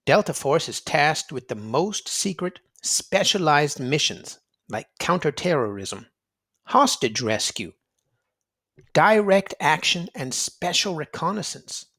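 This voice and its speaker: Male narrator for a kids' read-aloud book: lively, slightly high voice, emphatic and explanatory. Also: slow. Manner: emphatic, explanatory, addressing young listeners.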